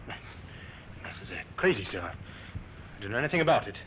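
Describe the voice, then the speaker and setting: An actor playing an accused army corporal, halting and defensive, in a radio crime drama. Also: male voice, on the low side, big pitch swings, fairly bright tone. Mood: defensive, rattled. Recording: muffled, noisy old radio recording.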